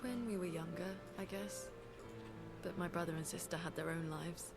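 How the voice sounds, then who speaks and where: Casting: woman playing serious scholar for game cutscenes, slightly low voice, dry and measured, strong music bed. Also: lightly acted. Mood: reminiscing. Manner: measured, matter-of-fact, dry. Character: serious, reserved adult woman, scholarly researcher. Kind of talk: naturalistic video game cutscene acting. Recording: background music over dialogue.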